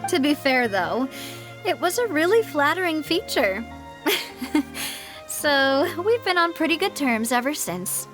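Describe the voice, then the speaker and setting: A self-assured young lady boss from a game cutscene. Her voice sits high, with an expressive, breezy, playful delivery over faint music. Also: unhurried, pauses often. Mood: fond, chatty. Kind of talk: video game voice acting.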